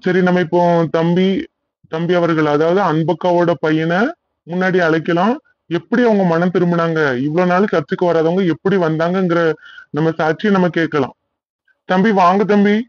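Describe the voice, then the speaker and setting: High-pitched, earnest cartoon pastor played by a man. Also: steady tone, moderate pace, dry recording, muffled recording, natural voice. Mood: pleased, welcoming. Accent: southern Tamil Nadu. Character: kindly, earnest male village church pastor.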